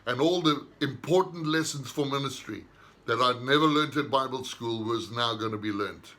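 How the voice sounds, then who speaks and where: Older man, bright voice. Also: mid-range voice. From southern Africa. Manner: unhurried, reflective storytelling in short phrases. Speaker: minister. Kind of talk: personal testimony.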